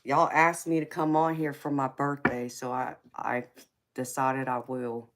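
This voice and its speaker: A woman in her forties speaks with a Southern twang in a very deep, low voice. She hosts a live tarot chat, laughing often and calling her viewers baby and honey. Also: level tone, a little slow, a bit bright. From Georgia, US. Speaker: tarot reader livestream host. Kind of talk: casual live chat reading comments.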